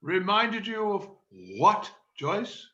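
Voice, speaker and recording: A man's voice: high-pitched, slow, sharp tone, dull audio, heavily acted. A man playing an exasperated, theatrical amateur stage director in a read-through of a comedy play. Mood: forced calm.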